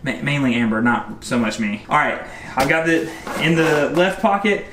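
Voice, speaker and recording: A man's voice: on the high side, expressive, normal speed, noisy background. A man in his thirties, upbeat and chatty, reviewing gear in a casual home vlog, recorded on a close camera mic in his garage. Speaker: fishing vlogger.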